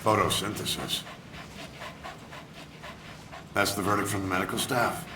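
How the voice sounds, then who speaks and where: An actor playing a stoic military commander in a video game, talking in terse, short phrases. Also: male voice, on the low side, fast, echoey room, background noise, natural voice. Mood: curt, puzzled. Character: terse, stoic male soldier commander questioning a subordinate. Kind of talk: video game cutscene dialogue.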